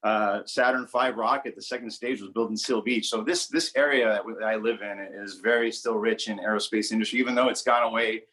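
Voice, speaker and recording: A man's voice: fairly low pitch, fairly even intonation, a little fast, hardly any pauses, bright, forceful tone. A man in his forties from Southern California, talking casually as an interview guest and often repeating words as he searches for what to say. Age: 40s. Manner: rambling, repeats words while searching for phrasing.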